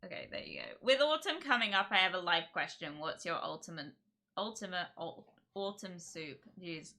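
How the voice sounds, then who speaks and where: A woman's voice: on the low side, sing-song, moderate pace, sharp tone. A woman, from Czechia, chatting and rambling on a live stream and giggling often. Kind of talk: casual live-stream chat.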